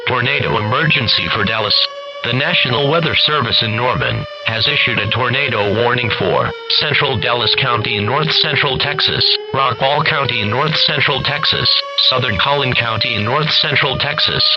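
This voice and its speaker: A man's voice: mid-range voice, moderate pace, music in the background, lightly acted. A man voicing a formal, stilted weather radio announcer reading a scripted emergency bulletin. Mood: stern, urgent. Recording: dull lo-fi radio broadcast, clear noise.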